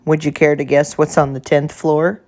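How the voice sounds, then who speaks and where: A woman's voice: deep voice, level tone, moderate pace, a little mellow, close to an everyday voice. A woman voicing a polite, helpful male hotel bellhop, obliging and mildly apologetic, in a children's story. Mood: brisk, eager. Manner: courteous, obliging. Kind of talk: children's picture book character voice.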